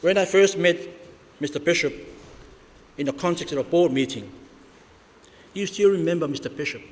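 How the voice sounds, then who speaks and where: Samoan man giving a church tribute. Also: on the high side. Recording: reverberant church with faint ambience.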